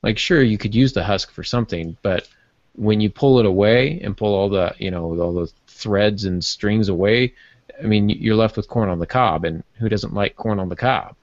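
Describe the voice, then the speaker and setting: A man's voice: fairly low pitch, moderate pace, highs cut off. A man co-hosting a casual podcast, explaining his points in an informal, conversational way. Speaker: podcast co-host and writer.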